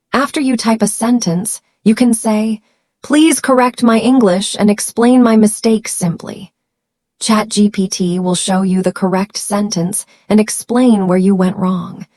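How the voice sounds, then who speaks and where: A woman's voice: medium pitch, darkish tone, dry recording, clean studio sound. A woman narrating a scripted beginner English lesson as the host, in simple short sentences with an encouraging manner. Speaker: English teacher and channel host.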